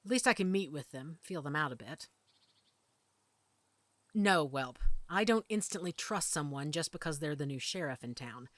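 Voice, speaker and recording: A woman plays a werewolf mother in charge of her pack in an audio roleplay. Her voice sits fairly low and is recorded dry. She gives orders calmly, with a protective firmness. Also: moderate pace, no room sound. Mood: wary, serious. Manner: calm, commanding, protective.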